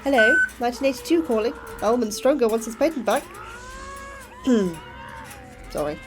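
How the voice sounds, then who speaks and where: An actress playing an anxious, apologetic astrobiologist full of questions in a sci-fi horror audio drama. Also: female voice, fairly high pitch, expressive, normal speed, over loud music, close to an everyday voice. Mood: nervous.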